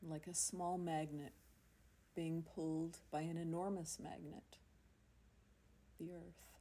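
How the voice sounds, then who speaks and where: Slow, mellow woman guiding relaxation. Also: sits low, flat delivery. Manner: soothing, unhurried, with long pauses. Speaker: yoga nidra teacher. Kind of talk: guided relaxation meditation. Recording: amateur recording with faint background noise.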